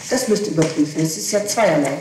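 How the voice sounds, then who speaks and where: A woman's voice: low voice, fast talker, a little mellow. Woman, interrogation answers, insistent explanations, very echoey room, far from the mic. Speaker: person questioned in a police interrogation. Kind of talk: answering questions in a recorded interrogation. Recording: echoey distant archival tape with hiss.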